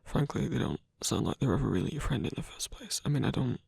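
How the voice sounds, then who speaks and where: A performer in an intimate ASMR roleplay, voicing a gentle, protective supernatural lover with a husky, breathy voice and a hesitant, earnest delivery. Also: mid-range voice, animated, fast talker, no echo, full character voice. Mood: indignant, protective.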